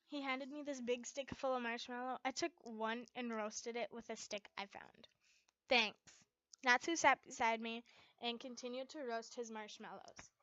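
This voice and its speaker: A young adult woman narrating a fan fiction story in an expressive amateur style, recorded up close at home. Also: on the high side, no echo, muffled recording.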